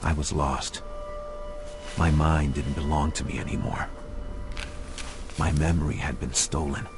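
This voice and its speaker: Brooding noir game narrator: extremely low, hushed half-whisper, over a heavy score. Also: male voice, level tone, unhurried. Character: brooding amnesiac man narrating a noir ghost story. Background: music.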